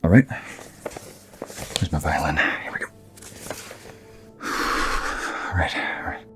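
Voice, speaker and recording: A man playing a nervous, gentle, lovestruck concert violinist in an intimate ASMR roleplay, with hesitant, breathy asides and stammers. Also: a bass voice, slow, in a large hall, music in the background.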